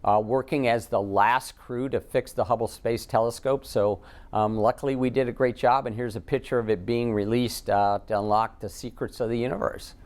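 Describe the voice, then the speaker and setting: A man with an American accent answering interview questions as an astronaut guest, halting often with ums as he thinks. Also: medium pitch, fairly even intonation, a little mellow.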